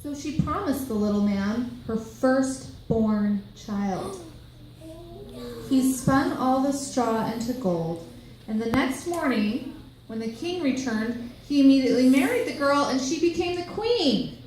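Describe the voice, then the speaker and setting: A woman's voice: sits high, lively intonation, unhurried, a little mellow. A woman narrator reading a children's tale aloud with storytelling expression, in a very echoey room with faint noise.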